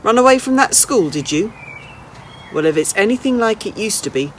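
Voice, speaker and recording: A woman voicing a small, brisk, chatty old lady in a children's storybook, blunt and questioning but kindly underneath. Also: mid-range voice, animated, a little hiss or hum, pitched above the performer's own voice, lightly acted. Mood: sharp, accusing. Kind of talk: children's storybook character reading.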